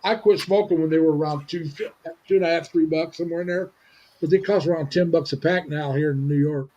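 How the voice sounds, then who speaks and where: Rambling seventy-year-old man, husky and mellow. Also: fairly high pitch, fairly even intonation, muffled recording. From Indiana, USA. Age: 70s. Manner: rambling, halting with many restarts. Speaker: livestream host. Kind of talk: casual livestream chat. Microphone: video call.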